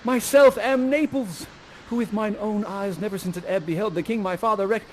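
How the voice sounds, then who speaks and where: A man playing an awestruck, ardent young lover from a Shakespeare play, with grand, drawn-out exclamations. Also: high-pitched, darkish tone, a little hiss or hum, voice pushed up, natural voice, poor audio. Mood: excited.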